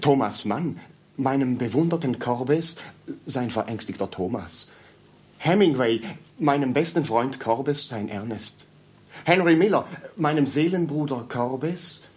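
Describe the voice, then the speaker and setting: Husky, fawning, meek little admirer. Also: male voice, mid-range voice, animated, slow, darkish tone. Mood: awed. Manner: hesitant, stammering, deferential. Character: meek, fawning, persistent male Swiss admirer, prim and cautious. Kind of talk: German radio play acting.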